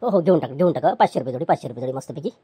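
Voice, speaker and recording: A woman's voice: sits low, animated, racing, warm tone, husky, heavily acted. A woman voicing a poor, meek male chair seller who pleads and placates with stammering restarts. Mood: placating.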